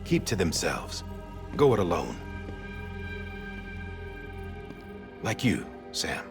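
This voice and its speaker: Grave persuasive official, low animated voice, earnest, video game cutscene, music behind. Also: male voice, unhurried, creaky, heavily acted. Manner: earnest, urging. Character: grave, persuasive male government official briefing an agent. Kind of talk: cinematic video game acting.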